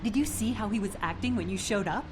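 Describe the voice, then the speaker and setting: A woman's voice: medium pitch, fast talker, background noise, natural voice. An actress voicing an agitated, outspoken woman in a video game, emotional and exasperated, over background music. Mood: incredulous, exasperated. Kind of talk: dramatic video game acting. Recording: music under the voice.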